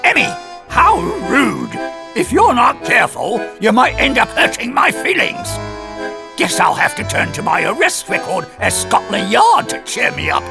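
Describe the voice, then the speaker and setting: Gravelly, theatrical police inspector. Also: male voice, extremely high pitch, huge pitch swings, a little slow, music in the background, full character voice. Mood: mock hurt, boastful. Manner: hammy, jovial bluster. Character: boisterous, theatrical male police inspector, proud of himself. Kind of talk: animated game cutscene acting.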